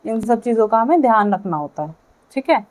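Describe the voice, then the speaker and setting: A woman with an Indian accent giving a lecture to students, speaking in short halting phrases and checking in with her listeners. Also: a little high, lively intonation, darkish tone. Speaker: physiotherapy lecturer.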